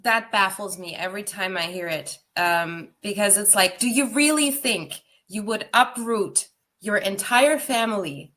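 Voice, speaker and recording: A woman's voice: medium pitch, measured pace, sharp tone. A Finnish woman who grew up abroad, a podcast guest on a video call, speaking frankly with fillers and light laughs. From Finland. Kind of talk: casual interview chat.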